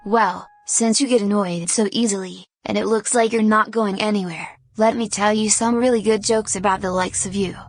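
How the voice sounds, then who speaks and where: A woman's voice: medium pitch, steady tone, moderate pace, few pauses, natural voice. A cocky fantasy adventurer voiced by a woman talks in a mid-range voice with music playing behind. She is wry, teasing and happy to taunt, a fire-loving scoundrel. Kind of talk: fantasy game movie voice acting.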